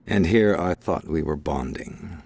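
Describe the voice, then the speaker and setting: A very deep, gravelly crime boss, scheming and contemptuous. He drawls slowly, pitch swinging wide as he toys with people. Every sarcastic line carries a quiet threat. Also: heavily acted. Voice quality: gravelly, mocking, slow menace. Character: sly, sneering male crime boss. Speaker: gang's scheming partner. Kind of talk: video game cutscene acting.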